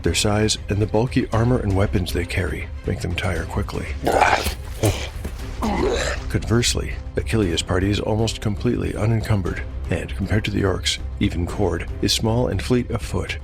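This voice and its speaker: A man with a deep voice narrates as host and game master of a fantasy audio drama. His delivery is scripted storytelling, set over a clear music bed. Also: moderate pace.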